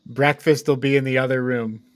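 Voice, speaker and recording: A man's voice: medium pitch, fairly even intonation, brisk, dry recording, clean studio sound. A man co-hosting a film discussion in a casual, joking conversational style. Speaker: podcast co-host.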